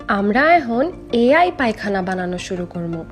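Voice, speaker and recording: A woman's voice: medium pitch, sing-song, moderate pace, lightly acted. A woman voicing a confident, ambitious young female inventor in a comic cartoon, earnest and assured. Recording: background music under the voice.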